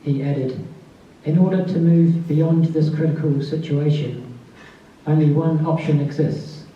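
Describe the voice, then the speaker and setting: Soft-spoken man in an echoey hall. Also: a little high, steady tone, unhurried. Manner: soft-spoken, calls his voice mousy. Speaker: author giving a tribute reading. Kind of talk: introduction and reading aloud. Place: festival event space. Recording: distant, dull, very echoey room.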